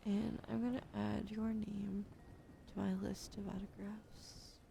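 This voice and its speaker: A young woman streaming ASMR, drowsy and soft-spoken, slipping into whispers as she chats. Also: mid-range voice, flat delivery, slow, vocal fry, a little hiss or hum. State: sleepy. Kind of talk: sleepy ASMR stream chat.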